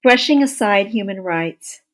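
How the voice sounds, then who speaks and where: A woman reading scripted non-fiction narration for an audiobook in a measured, explanatory way. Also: medium pitch, expressive.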